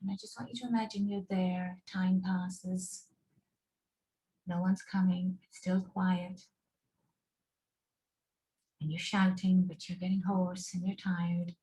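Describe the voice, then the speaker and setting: A woman leading a guided relaxation and visualization over a video call, speaking in slow, soothing, paused instructions. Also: fairly low pitch, flat delivery, mellow, low-quality recording.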